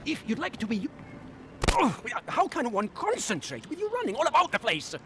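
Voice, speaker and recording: A man's voice: very high-pitched, huge pitch swings, normal speed, fairly bright tone, faint music behind, heavily acted. An actor playing a fussy, flustered, easily exasperated man in a video game.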